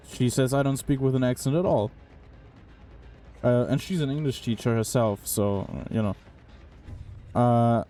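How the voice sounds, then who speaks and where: A man's voice: medium pitch, mellow. A young man streaming a game, casually commenting and chatting with his viewers in a puzzled, talkative way. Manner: puzzled, chatty, talks to chat. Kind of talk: casual live-stream commentary while playing.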